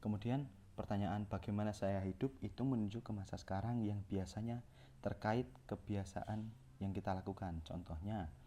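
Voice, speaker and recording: A young man with a low, mellow voice reads through a course presentation. He keeps tripping over words and restarting them as he goes. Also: moderate pace. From Central Java, Indonesia. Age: young adult. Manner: reads from notes with frequent self-corrections and restarts. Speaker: communication student presenting.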